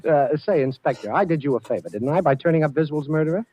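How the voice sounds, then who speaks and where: An actor playing a cool, wisecracking amateur sleuth in a 1940s radio drama. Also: male voice, fairly high pitch, animated, quick pace, warm tone. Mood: confident. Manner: wry, unflappable. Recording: muffled old radio drama recording.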